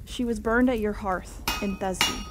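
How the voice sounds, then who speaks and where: Earnest young Greek-myth heroine, played by a woman, insistent, grieving, medium pitch. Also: steady tone, moderate pace, noisy background, natural voice. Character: determined young woman seeker in ancient Greece, grieving. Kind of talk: audio drama acting.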